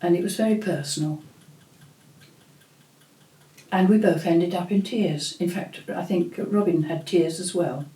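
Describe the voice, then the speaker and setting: Older woman host, slow and mellow, reflective storyteller, roomy echo. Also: on the low side. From England. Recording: echoey room.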